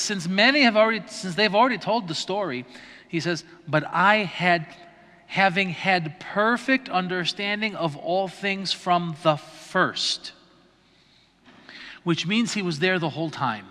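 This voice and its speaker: A man's voice: fairly high pitch, sharp tone. A man, a priest, gives a conversational lecture to an adult class, explaining patiently and checking in with his listeners as he goes. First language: not Greek. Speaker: priest teaching adult catechism. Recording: reverberant hall on a livestream mic.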